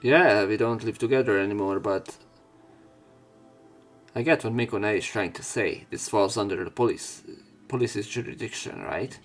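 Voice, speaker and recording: A man with a fairly low voice reads a visual novel's lines aloud in a casual let's-play manner and drops jokey asides between lines. Game music plays faintly behind him. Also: moderate pace. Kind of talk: reading visual novel text aloud with commentary.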